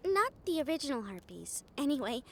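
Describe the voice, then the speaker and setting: Woman acting a very high-voiced, bubbly harpy. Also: big pitch swings, brisk, a little hiss or hum. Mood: eager storytelling. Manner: bubbly, gentle, earnest. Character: kind, excitable, trusting young harpy healer woman. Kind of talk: audio roleplay acting.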